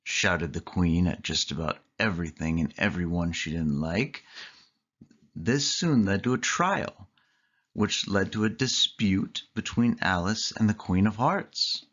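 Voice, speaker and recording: A storyteller reading a scripted children's story aloud in measured phrases with deliberate pauses. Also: low voice, expressive, a bit bright, muffled recording. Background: clear background music.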